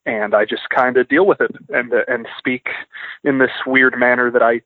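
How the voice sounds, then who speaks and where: A man's voice: medium pitch, steady tone, normal speed, no echo. A young man from outside Atlanta speaks in a mixed Northern and Southern US accent as a guest on a radio show. He answers in a wry, self-deprecating way, with a mid-range voice. He comes through a thin, tinny phone line that sounds dry. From outside Atlanta, Georgia. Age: young adult. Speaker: sports beat writer, guest. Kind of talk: casual interview answers.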